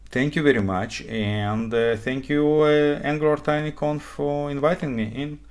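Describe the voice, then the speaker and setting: A man's voice: fairly high pitch, steady tone, normal speed. A man in his forties who is not a native English speaker, giving a live coding talk at a conference and explaining step by step with frequent "okay?" checks. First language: not English; speaks Hebrew, Russian, Ukrainian. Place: home room at desk.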